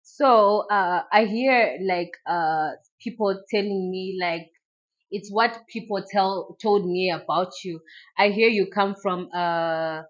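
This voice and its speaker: A woman's voice: mid-range voice, slow, muffled recording. Chatty woman hosting a casual interview. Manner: chatty, informal, many "like" fillers. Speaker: host.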